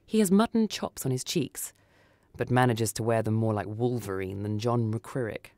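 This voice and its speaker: A narrator tells a short story in the first person with a dramatic delivery. The voice sits at a middling pitch. The sound is very dry. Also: normal speed, a little mellow, no room sound. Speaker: story reader.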